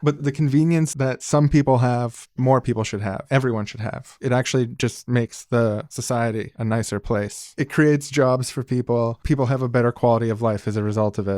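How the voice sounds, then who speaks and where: A man's voice: mid-range voice, darkish tone, no room sound. Explanatory male podcast host, dry sound. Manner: explanatory, articulate with informal asides. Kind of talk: casual discussion.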